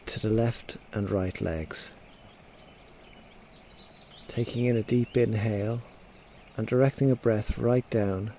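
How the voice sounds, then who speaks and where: Male meditation guide, soft mellow tone, medium pitch, gentle and unhurried, pausing often, faint birdsong and nature sounds behind. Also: a little hiss or hum. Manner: gentle, unhurried instruction with frequent pauses. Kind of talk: guided body scan meditation.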